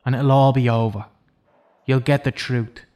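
A man performs a self-loathing male convict in an audio drama. He is intense and persuasive, pressing his words deliberately and insistently in an ordinary mid-range voice. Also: medium pitch, steady tone, dark tone, close to an everyday voice. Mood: pleading, coaxing. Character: remorseful imprisoned killer, intense and self-loathing.

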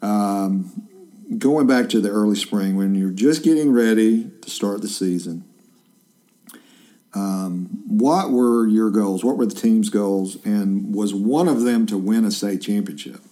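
A man's voice: fairly low pitch, a little slow, mellow. A man in his fifties, a journalist hosting a casual interview, chatty and rambling with lots of fillers and restarts. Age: 50s.

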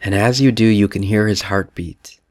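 A man's voice: lowish voice, fairly even intonation, moderate pace, dry recording, clean studio sound. A man leading a guided prayer meditation, speaking soothingly to the listener in the second person.

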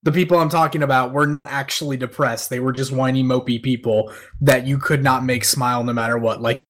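Emphatic man with medium-pitched voice. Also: fairly even intonation, brisk, fairly bright tone. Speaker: podcast panelist. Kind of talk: casual chat.

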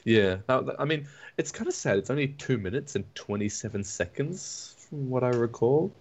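A man's voice: mid-range voice, expressive, normal speed, husky. A man co-hosting a casual podcast chat, giving opinions with lots of "like" and "I mean". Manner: opinionated, filler-heavy. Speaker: podcast co-host.

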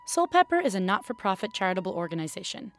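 A woman's voice: medium pitch, very animated, fast, slight background noise. A woman reading scripted narration as an announcer, in the style of programme credits.

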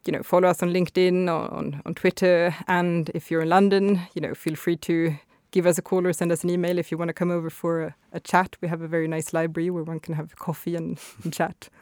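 A woman guest explaining climate policy in an interview, measured with some hesitations. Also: fairly low pitch, flat delivery, darkish tone, no room sound, clean studio sound. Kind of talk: expert interview answers.

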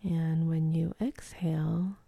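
A woman leading a guided meditation in a soft, breathy, half-whispered voice, calm and gentle, with long pauses between phrases. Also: sits low, dry recording.

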